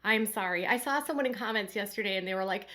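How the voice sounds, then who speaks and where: A woman's voice: mid-range voice, quick pace, pushed and bright. A woman hosting a casual commentary channel who talks straight to her viewers in a rambling way, her nose congested from a cold.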